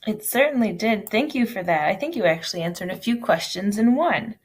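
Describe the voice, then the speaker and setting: A woman's voice: mid-range voice, expressive, a little fast, husky. Upbeat woman reading interview questions. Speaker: event host. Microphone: video call.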